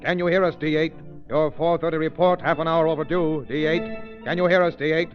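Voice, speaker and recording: High-pitched, insistent actor's airline official. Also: male voice, fairly even intonation, fairly quick, natural voice. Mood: urgent, anxious. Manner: insistent, formal. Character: urgent, authoritative male airline radio man and superintendent. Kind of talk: 1930s radio acting. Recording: muffled old 1930s radio recording, music behind.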